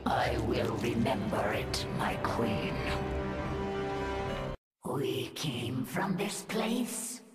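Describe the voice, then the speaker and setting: A female alien broodmother from a video game, proud and ambitious beneath formal deference. The delivery is very slow and low, echoing over loud music. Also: big pitch swings, full character voice. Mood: brooding, proud. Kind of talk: video game dramatic voice acting.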